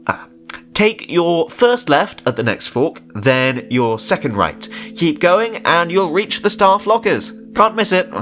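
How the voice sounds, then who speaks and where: Man acting an over-friendly, high-pitched park manager. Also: sing-song, unhurried, a bit bright, faint music behind. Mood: cheerful, helpful. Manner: chuckling, folksy, patronizing. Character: beaming, over-friendly male theme park manager hiding menace.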